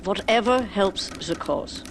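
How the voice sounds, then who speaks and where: An actress playing a blunt, practical resistance leader in a video game, dry and questioning. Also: female voice, medium pitch, expressive, measured pace, creaky, background noise. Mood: skeptical. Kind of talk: video game cutscene acting. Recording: music behind.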